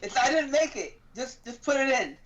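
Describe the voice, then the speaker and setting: A man's voice: very high voice, animated, normal speed, fairly bright tone. Offhand man on lo-fi stream audio. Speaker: co-host of a gaming livestream. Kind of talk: casual chat.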